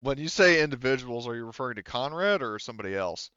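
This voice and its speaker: A man's voice: medium pitch, lively intonation, quick pace, bright, forceful tone, smooth, no echo, highs cut off. A man voicing a timid, whiny, defensive colony janitor in a tabletop game. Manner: defensive, meek.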